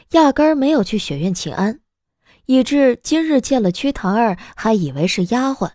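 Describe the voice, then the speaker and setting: Animated woman narrating an audiobook. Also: on the high side, no echo, clean studio sound. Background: soft background music.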